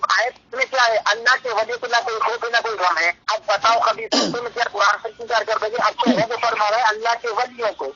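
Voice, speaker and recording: High-voiced combative man, muffled phone recording. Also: steady tone, fairly quick, few pauses, over a noisy crowd. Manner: combative, scolding. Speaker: debater in a phone argument. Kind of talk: religious debate over the phone. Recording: noisy, dull phone call recording with clicks.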